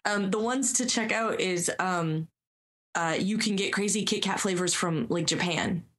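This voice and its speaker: A woman's voice: fairly low pitch, measured pace, a bit bright. A woman chatting casually on a podcast, playful and hesitant, with plenty of ums.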